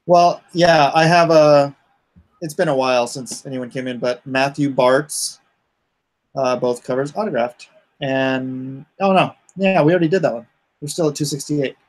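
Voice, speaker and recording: A man's voice: mid-range voice, fairly even intonation, moderate pace. A man chatting casually as a livestream host, rambling informally with joking asides. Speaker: comic artist hosting a crowdfunding livestream.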